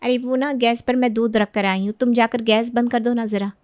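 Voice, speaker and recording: Lady as a young daughter-in-law in a story, polite n earnest, highish voice. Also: steady tone, a little fast, a little mellow, no echo, clean studio sound, close to an everyday voice. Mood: requesting. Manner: respectful, earnest.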